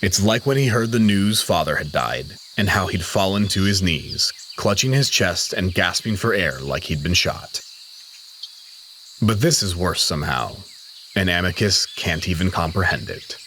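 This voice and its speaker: A man with a deep, low voice narrates a dramatic story. His delivery is solemn and measured, and faint insect-like night ambience sits behind him. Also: lively intonation, pushed and bright, slight background noise.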